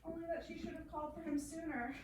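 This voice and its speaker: Woman playing a gentle high-voiced girl, echoey. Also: steady tone, fast talker. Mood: weary. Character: gentle, unwell young girl, shy and grieving. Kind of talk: period family stage drama. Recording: echoey room, distant mic, faint noise.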